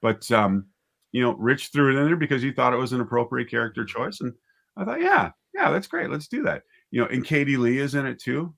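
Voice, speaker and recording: A man's voice: mid-range voice, big pitch swings, brisk, creaky, fairly bright tone. A man speaking casually as an interview guest, a writer and director thinking aloud in a hesitant, conversational way.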